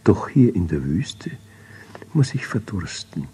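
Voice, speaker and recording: A man's voice: deep voice, very animated, slow, warm tone, husky, heavily acted. A man voicing a small, weary, lost bird with a thin, piping voice in a storybook reading. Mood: tired, worried. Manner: weary, plaintive.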